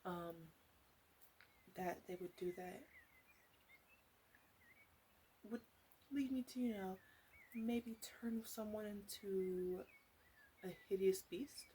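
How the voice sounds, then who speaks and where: A woman playing a flirtatious witch who sells potions, rambling wryly and hesitantly in a soft ASMR roleplay addressed to the listener. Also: medium pitch, slow, long pauses, a little hiss or hum, natural voice. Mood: sympathetic, sly. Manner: hesitant, rambling, wry, with asides.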